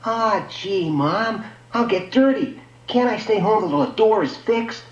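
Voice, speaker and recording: A sulky young boy, played by a man in a radio story, speaks in a raised, high voice. He protests and whines in boyish slang, reluctant about everything, heard through dull, lo-fi sound. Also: animated, unhurried. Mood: whining, balking. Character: reluctant whining young boy in futuristic household. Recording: dull lo-fi audio, echoey room.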